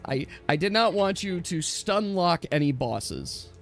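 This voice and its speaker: A man with a fairly high voice acts as game master for a tabletop game. He explains rules in a chatty, off-the-cuff way, pausing and restarting as he goes. Faint music plays behind him. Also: expressive, vocal fry.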